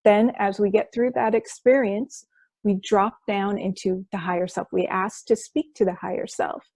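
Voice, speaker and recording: A woman's voice: mid-range voice, fairly even intonation, moderate pace, darkish tone, no room sound. Enthusiastic woman explaining hypnosis sessions. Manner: enthusiastic, restarts phrases often. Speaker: quantum healing hypnosis practitioner, guest. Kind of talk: explaining her practice in an interview.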